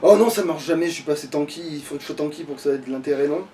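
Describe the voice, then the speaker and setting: A man's voice: on the high side, racing, mellow, distant mic, slight background noise. A man chatting casually as he plays a video game, grumbling and swearing. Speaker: let's player playing the game. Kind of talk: casual gaming chat, live play commentary.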